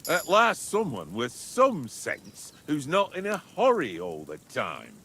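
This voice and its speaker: An actor playing a sensible medieval soldier travelling with companions in a game cutscene. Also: male voice, fairly high pitch, huge pitch swings, takes it slowly, pushed and bright, slightly rough, full character voice. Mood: level-headed. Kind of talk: video game cutscene acting.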